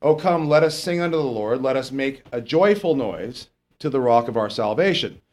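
A man with a lower voice, a pastor teaching verse by verse in a conversational way and reading scripture slowly and with emphasis. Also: lively intonation, a little mellow. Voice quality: lower voice, not strong. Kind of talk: verse-by-verse Bible teaching sermon.

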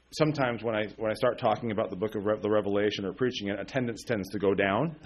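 A man preaching a loose, conversational sermon from the pulpit, full of fillers and restarts, often chuckling at himself. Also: medium pitch. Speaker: pastor. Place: church pulpit.

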